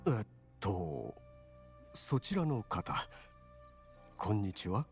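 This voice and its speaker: A man's voice: fairly low pitch, sing-song, measured pace, faint music behind. An actor playing a polite, earnest, hesitant clergyman in a video game, speaking courteously. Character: polite, earnest, hesitant male church cleric.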